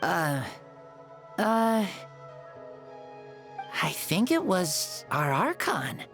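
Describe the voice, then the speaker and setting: Timid sickly boy, slow and halting, with a gravelly catch and soft game music behind. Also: on the low side, sing-song, full character voice. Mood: wondering. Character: young sickly boy, shy and wondering. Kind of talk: game voice acting.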